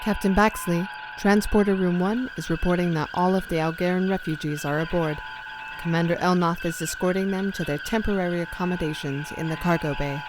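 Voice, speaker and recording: A woman playing a brisk, dutiful starship lieutenant in an audio drama, reporting formally. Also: lowish voice, steady tone, noisy background, lightly acted. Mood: dutiful, businesslike.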